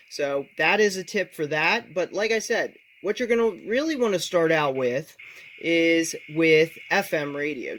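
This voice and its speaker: Higher-pitched man giving a tutorial, background noise. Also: a bit bright, low-quality recording. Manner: explanatory, addresses the viewer directly. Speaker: tech tutorial presenter. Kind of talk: casual step-by-step hands-on tutorial.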